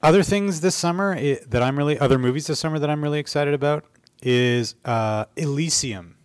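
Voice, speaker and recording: A man's voice: a little high, a little fast, smooth. A man co-hosting a podcast, talking casually and conversationally with his partner. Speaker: podcast co-host. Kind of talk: casual conversation about films.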